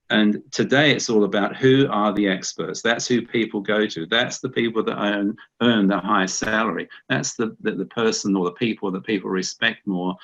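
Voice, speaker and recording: A middle-aged New Zealand man with a low voice gives a loose, conversational webinar talk, circling back and repeating himself as he goes. The audio is muffled and dull, with the lo-fi sound of an online call. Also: a bit bright. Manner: conversational, repeats words when restarting. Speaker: guest speaker.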